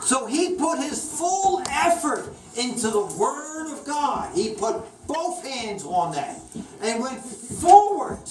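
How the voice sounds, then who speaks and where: A woman teaching children in an animated, emphatic way, quizzing them and repeating her questions to the group. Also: high voice, a little hiss or hum. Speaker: children's Bible teacher hosting a church puppet show. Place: roomy indoor church hall with children. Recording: echoey room, distant mic.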